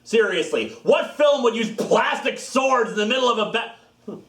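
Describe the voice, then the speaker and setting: A man's voice: extremely high pitch, expressive, moderate pace, a bit bright. A young man trading self-mocking jokes in casual chat in a studio room, picked up by a distant camera mic. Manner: self-mocking, giggly banter. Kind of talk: joking behind-the-scenes chat. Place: indoor film studio room with couch. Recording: roomy studio, distant mic, chatter behind.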